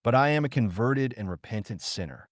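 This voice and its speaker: A skeptical veterinarian voiced by a male audiobook narrator, formal and pointed. His pitch rises and falls freely, and the sound is dry and close. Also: expressive, fairly quick, no echo, close to an everyday voice. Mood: contrite.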